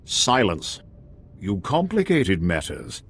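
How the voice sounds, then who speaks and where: An actor playing a scheming, greedy renegade admiral in a video game, husky and formal, with a deliberate, commanding manner. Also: male voice, low voice, wildly swooping pitch, full character voice. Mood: bargaining. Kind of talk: dramatic video game acting.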